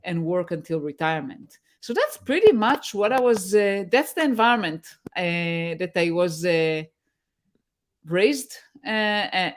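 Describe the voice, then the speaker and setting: A middle-aged woman from Israel, a guest on a video-call interview, speaking thoughtfully with many pauses and fillers. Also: on the low side, expressive. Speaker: guest, tech mentor.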